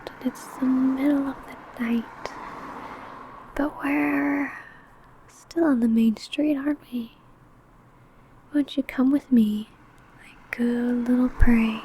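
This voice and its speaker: Possessive vampire coworker for ASMR roleplay, played by a woman: breathy female whisper, soft and mellow, menacingly teasing, faint background noise. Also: fairly high pitch, fairly even intonation, poor audio. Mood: controlling, menacing. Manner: slow, teasing, menacing, deliberate. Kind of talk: ASMR roleplay, intimate monologue to listener.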